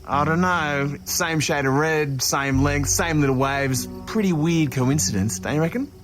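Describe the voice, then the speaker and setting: An actor with an Australian accent playing a cocky older brother who needles and mocks in matey slang, in a naturalistic audio drama. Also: male voice, fairly high pitch, measured pace, a bit bright, soft background music. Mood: smug, needling. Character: cocky taunting older brother, a grown man.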